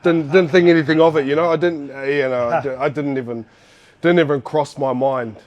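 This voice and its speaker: A man's voice: on the high side, fairly even intonation, fairly quick, highs cut off. A man in his forties with a New Zealand accent, telling his story in a casual interview, rambling with fillers and restarts. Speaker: guest, former fighter.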